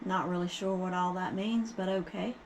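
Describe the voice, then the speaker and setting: A woman's voice: lowish voice, fairly even intonation, normal speed. A woman chatting casually as a test partner, heard through a two-way radio. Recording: muffled, very noisy CB radio with static.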